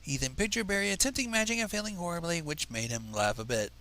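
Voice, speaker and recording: Guy reading a fanfic out loud, kinda bright voice, lots of fry, sarcastic and sweary. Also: a little high, lively intonation, quick pace, poor audio. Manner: sarcastic, profane. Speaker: fanfiction reader and livestream host. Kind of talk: dramatic reading with mocking asides.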